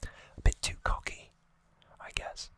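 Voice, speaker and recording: Slow, hushed ASMR whisperer. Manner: hushed, unvoiced whispering throughout. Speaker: host of an anime trivia channel.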